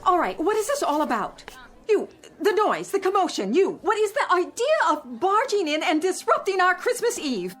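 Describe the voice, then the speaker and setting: An actress playing a bossy, indignant nun with a sharp scolding manner in a radio drama. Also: female voice, very high voice, sing-song, normal speed, heavily acted.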